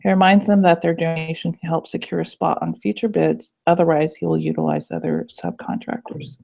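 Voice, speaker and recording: A young woman in a student group video call, hoarse from illness, chatty and apologetic with lots of ums. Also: low-pitched, fairly even intonation, fairly quick, warm tone. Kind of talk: casual video call meeting. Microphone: computer mic on a video call. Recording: dull lo-fi call audio.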